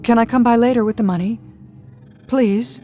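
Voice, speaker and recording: A woman acting a desperate, addicted woman in audio fiction, nervous and eager, with a flustered, halting and emphatic delivery. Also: on the high side, warm tone, faint music behind, pitched above the performer's own voice, natural voice. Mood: desperate pleading.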